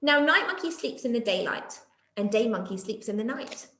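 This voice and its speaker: A woman reads children's stories in the manner of a schoolteacher, talking directly to her young listeners. Her voice is high and very expressive, with wide swings in pitch. It is recorded in an echoey room. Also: normal speed. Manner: addresses young children with questions. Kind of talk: expressive children's story reading.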